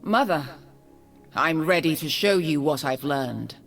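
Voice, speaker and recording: A proud, noble mage woman from a video game, coolly disdainful and regal. Her measured theatrical lines move expressively up and down in pitch, with music faintly behind. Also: lively intonation, full character voice. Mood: proud resolve.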